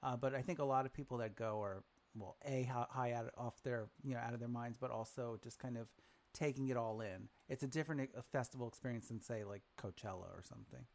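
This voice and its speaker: A man's voice: mid-range voice, quick pace. A man from Brooklyn hosting a podcast, chatting casually with lots of ums and uhs. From Brooklyn, New York. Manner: relaxed, filler-heavy talk. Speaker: podcast host.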